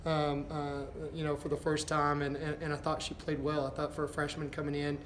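A middle-aged man, a basketball head coach, answering postgame press questions in a halting, thinking-aloud way. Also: on the high side, completely flat delivery, fairly bright tone. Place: indoors before a backdrop, a step from camera. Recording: echoey room with background noise.

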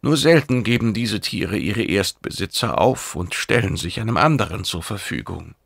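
A man's voice: on the low side, lively intonation, normal speed, gravelly, a bit bright, slightly rough, dry recording, studio quality, full character voice. A man voicing a stern, demanding oracle mentor who lectures imperiously in an audiobook. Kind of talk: audiobook character acting.

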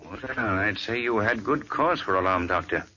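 A calm detective who reasons out clues in a measured, lively delivery. The voice is bright, with faint hiss behind it. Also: male voice, on the low side, highs cut off. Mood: probing. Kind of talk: 1940s radio acting.

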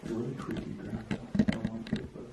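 A man's voice: lowish voice, level tone, quick pace. A young man, talking casually in short, matter-of-fact remarks while exploring a building. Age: young adult. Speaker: urban exploring companion. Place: indoors, empty dark school rooms and hallways. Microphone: picked up by companion's handheld camera. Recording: very noisy, echoey camera audio.

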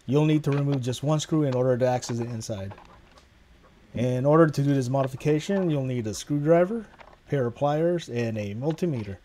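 A man's voice: mid-range voice, brisk, dark tone, slight background noise. A man hosting a home workshop channel, narrating a casual hands-on tutorial. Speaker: host of a DIY machining channel.

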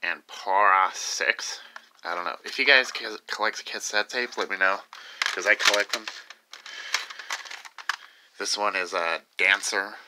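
A young man of about twenty with a low voice talks in a casual, unscripted way. His delivery is offhand and halting, as if showing his things to the camera. It is recorded on a handheld phone with clear background noise. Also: fairly even intonation. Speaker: video maker showing a collection. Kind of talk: casual unscripted show-and-tell. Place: small cluttered room at home. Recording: noisy phone recording with clicks.

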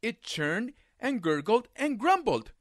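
Male storyteller, hugely swooping pitch, slow pace, dramatic children's read-aloud, deliberate phrasing. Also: sing-song, no echo, clean studio sound. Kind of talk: expressive picture-book read-aloud.

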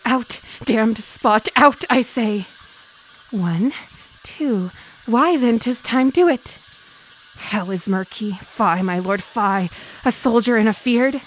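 A woman's voice: a little high, slow pace, a little hiss or hum, poor audio. An actress playing a guilt-ridden, sleepwalking noblewoman in a Shakespearean radio drama, muttering in broken fragments. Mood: haunted, muttering.

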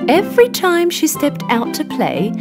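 Lady reading kids bedtime story, highish voice, music behind her. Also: huge pitch swings, a little slow. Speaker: storyteller, narrator. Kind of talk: scripted children's bedtime story narration. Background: background music.

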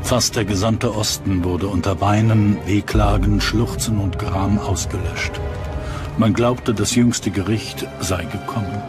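A man's voice: on the low side, steady tone, a little slow, natural voice. A man playing a solemn, foreboding medieval chronicler reading testimony of a plague in a documentary. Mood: solemn, sorrowful. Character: grave medieval chronicler bearing witness to the plague. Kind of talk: dramatic reading of historical testimony. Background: prominent background music.

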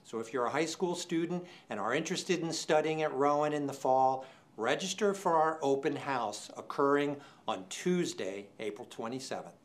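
A man in his sixties speaking as a presenter in a formal, measured, scripted style, enunciating deliberately. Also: fairly high pitch. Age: 60s. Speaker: department chair presenting awards. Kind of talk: scripted awards show presentation to camera. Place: indoor studio set.

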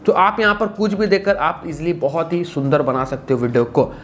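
Male tutorial host, twenties, slightly high pitch, casual teaching style, some background noise. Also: lively intonation, fairly quick. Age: 20s. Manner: instructional, repeats stock phrases. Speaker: tutorial presenter. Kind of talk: casual phone-app tutorial. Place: small indoor room.

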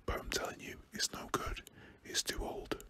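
A man's voice: moderate pace, lightly acted. Man voicing a grumpy whispering shopkeeper. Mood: grumpy, reluctant. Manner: reluctant, insistent. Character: grumpy, exasperated male shopkeeper with a moustache.

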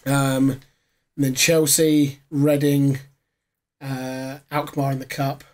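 A hoarse man in his thirties, co-hosting a casual gaming podcast from a small home room, rambling with plenty of fillers. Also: medium pitch, little pitch movement, slow, a little mellow. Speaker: podcast co-host.

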